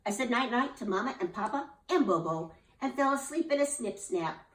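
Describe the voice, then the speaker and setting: A woman's voice: a little high, expressive, normal speed, distant mic, pitched above the performer's own voice, natural voice. A woman voicing a chirpy young schoolgirl with a breathless, sing-song manner. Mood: nervous. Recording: roomy, from off the mic.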